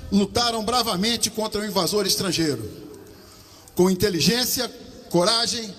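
A man with a Brazilian accent giving a slow, solemn ceremonial speech as an army commander at an outdoor podium microphone. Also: high-pitched, a bit bright, long reverb tail. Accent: Brazilian Portuguese. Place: outdoor parade-ground stand.

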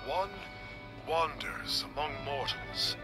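A man's voice: fairly high pitch, slow. A man playing a pompous masked charlatan posing as an immortal sage in a video game, speaking in grand archaic phrases. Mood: lofty, assured. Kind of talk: scripted video game acting. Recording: loud background music.

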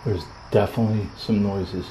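A middle-aged man with a Southern US accent, filming himself alone at night in an abandoned wooden shed and talking to his viewers in a hushed, jittery way. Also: fairly low pitch, fairly even intonation, moderate pace, very noisy. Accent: Floridian American. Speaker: paranormal explorer vlogger. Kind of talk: solo ghost-hunting vlog.

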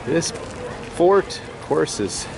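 A man in his forties hosting a casual travel vlog, slowly pointing out exhibits on a tour of an old fort. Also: a little high, very animated, vocal fry, noisy background. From California. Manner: slow, easygoing, pointing out exhibits. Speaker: vlog host. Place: outdoors at a historic fort and inside its rooms.

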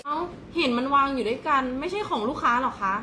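A woman's voice: high voice, quick pace, background noise, lightly acted. A woman acting a fussy female shop cashier who is prim and nitpicking. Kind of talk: amateur sketch drama acting.

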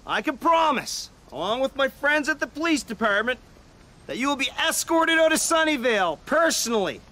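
An actor playing a pompous, self-important middle-aged park supervisor, giving blustery speeches outdoors at a trailer park picnic. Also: male voice, very high voice, big pitch swings, normal speed, sharp tone, exaggerated voice. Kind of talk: mockumentary comedy acting.

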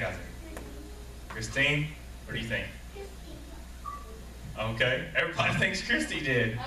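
A man's voice: mid-range voice, sharp tone. A man, leading a church children's program and calling out to the kids in an upbeat way. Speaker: children's ministry leader. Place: church sanctuary. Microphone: far camera. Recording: echoey sanctuary, distant camera, noise.

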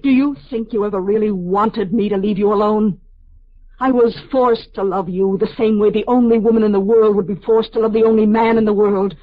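A woman's voice: mid-range voice, fairly even intonation, a little fast, dry recording, lightly acted. An actress playing an anguished, resolute telepathic woman confronting her lover in a vintage American radio drama. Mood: bitter anguish. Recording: dull, band-limited old radio recording.

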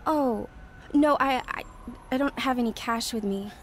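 A woman's voice: a little high, unhurried, slight background noise, close to an everyday voice. An actress playing a lonely, hesitant woman who has found faith and tells her story earnestly in an audio drama. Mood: hesitant, wistful. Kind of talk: audio drama dialogue and first-person narration.

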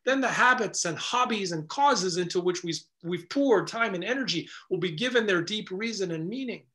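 A middle-aged man, a pastor, preaching an earnest, measured sermon indoors before a stone wall. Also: high voice, animated, pushed and bright.

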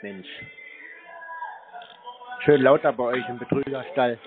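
A man's voice: mid-range voice, flat delivery, takes it slowly, music in the background. A man making mocking prank phone conversation in a teasing, sarcastic manner, as the host of the calls. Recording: narrow tinny phone line.

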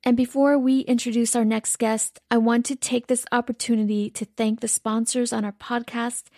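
Woman, podcast co-host, scripted intro read, mellow higher voice, dry close sound. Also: level tone, moderate pace, no room sound.

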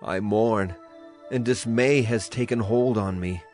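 A man's voice: on the low side, measured pace, a little mellow. A man acting a solemn prophet who speaks for a grieving, wrathful God in a dramatized scripture reading, declamatory in delivery. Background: music behind the voice.

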